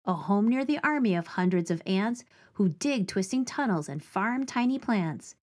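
A woman in her forties reads aloud for young children in a medium-pitched voice. Her delivery is deliberate and expressive, with the phrasing of a storybook reading led by a teaching artist.

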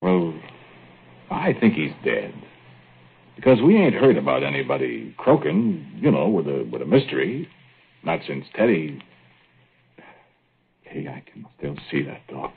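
A man's voice: rumbling low, huge pitch swings, measured pace, vocal fry, pauses often, husky, heavily acted. An actor playing a plain-spoken, skeptical small-town American plumber, telling his story in folksy, ungrammatical speech in old-time radio drama style. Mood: uneasy, practical. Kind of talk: 1940s radio drama acting, first-person narration and dialogue. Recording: muffled, noisy old radio recording.

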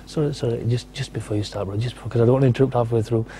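A young male presenter hosts a live TV studio show, talking quickly and informally. His voice is mellow and warm in tone. He sounds eager with callers and guests alike. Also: medium pitch, fairly even intonation, husky. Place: TV studio set.

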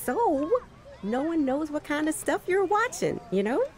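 A sly, teasing schoolgirl in an audio drama. Her voice is fairly high and animated, and she takes her time. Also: measured pace.

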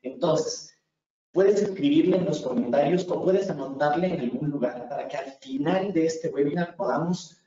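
Earnest young Ecuadorian-accented instructor, mellow tone, recorded from afar on a muffled line. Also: male voice, a little high, moderate pace. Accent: Ecuadorian Spanish. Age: 20s. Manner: earnest, repeats words for emphasis. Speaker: communication instructor. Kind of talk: webinar lecture. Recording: echoey room, far from mic, muffled.

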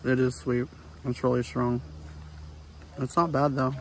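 Casual male vlogger with a gravelly edge. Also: mid-range voice, level tone, a little slow, poor audio. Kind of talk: casual vlog narration. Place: outdoors at a farm nursery. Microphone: handheld phone at arm's length. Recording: faint outdoor background noise.

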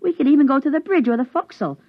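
A woman's voice: high voice, expressive, very fast, no echo. Cheeky boy on muffled old radio. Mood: eager, cheeky. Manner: boyish, eager, sulky. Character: restless young boy, cheeky, grumbling about his sisters. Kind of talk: 1950s radio drama acting. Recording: dull vintage 1950s radio recording.

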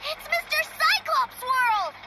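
A woman voicing a wide-eyed, innocent little boy in a video game, gushing and excitable in a naive way. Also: very high voice, slow, heavy background noise, full character voice. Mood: thrilled. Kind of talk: English video game voice acting. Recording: game audio under loud music.

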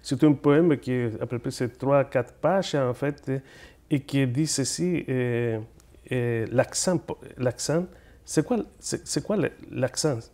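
Middle-aged man with a Chilean Spanish accent, dark mellow tone, earnest TV studio guest who halts and repeats words. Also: mid-range voice. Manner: earnest, halting, repeats words while searching for them. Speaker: guest poet and speaker. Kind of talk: studio interview answers.

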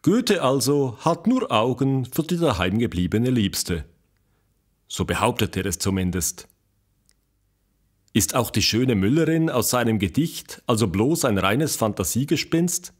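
A man narrating a scripted documentary radio play as its storyteller, with a husky voice. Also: mid-range voice, lively intonation, a little slow.

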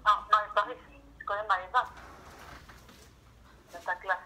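A woman's voice: mid-range voice, steady tone, measured pace, bright, forceful tone. A middle-aged woman talking casually on a phone call, heard through a speakerphone. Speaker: mother taking a phone call. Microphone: phone loudspeaker, re-recorded by camera. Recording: noisy speakerphone call picked up in room.

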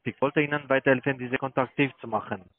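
A man who is not a native German speaker, with a foreign accent, making a persistent sales pitch as a call-centre caller. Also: steady tone, fast. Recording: narrow tinny phone line.

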